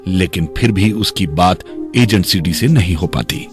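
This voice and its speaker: A man's voice: low voice, lively intonation, moderate pace, music in the background. A man reading scripted narration for a radio drama.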